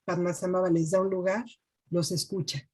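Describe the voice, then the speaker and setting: Mujer de voz grave y algo ronca. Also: female voice, fairly low pitch, little pitch movement, normal speed, a little mellow, slightly rough. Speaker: interviewer. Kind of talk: gentle interview questions.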